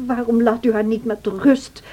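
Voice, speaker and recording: An actress playing an anxious, protective, loyal servant woman in a Dutch radio drama, speaking in an earnest, measured way. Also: fairly high pitch, steady tone, warm tone, a little hiss or hum, lightly acted. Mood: guarded. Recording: dull old radio play recording.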